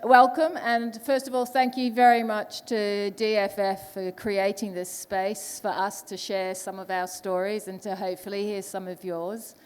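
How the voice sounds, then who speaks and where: A woman's voice: mid-range voice, level tone, measured pace, few pauses, clean tone. A woman from Vanuatu, introducing herself as a panelist at a live event. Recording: echoey hall.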